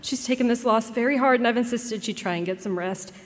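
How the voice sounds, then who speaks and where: A woman playing a genteel, melodramatic young high-society daughter in an old-time style radio drama. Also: a little fast, natural voice. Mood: gracious, worried. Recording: live in echoey concert hall.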